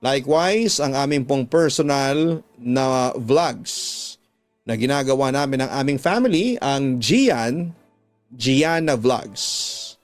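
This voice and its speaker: A man's voice: medium pitch, lively intonation, slow pace. A man with a Filipino accent reading a listener's letter aloud as a dramatic radio storyteller and host. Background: background music.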